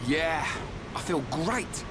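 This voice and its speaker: Cocky loyal young fighter in a game, slightly high voice, rumbly noise behind. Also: male voice, lively intonation, slow pace. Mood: upbeat. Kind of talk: dramatic game cutscene acting.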